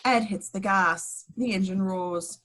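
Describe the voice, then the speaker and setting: A woman's voice: medium pitch, slow pace, a bit bright. A woman giving scripted narration, reading out the action lines of a film screenplay at a table read.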